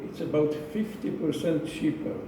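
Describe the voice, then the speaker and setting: A man giving a prepared speech to an audience in a slow, deliberate manner, repeating phrases for emphasis. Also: fairly high pitch. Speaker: club speaker giving a speech. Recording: echoey room, distant mic, noisy.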